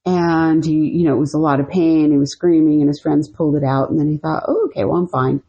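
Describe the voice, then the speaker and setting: Low, husky woman telling a story. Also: little pitch movement, a little fast, warm tone, lo-fi sound. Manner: rambling, full of "you know" and "like". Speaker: podcast co-host. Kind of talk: casual storytelling.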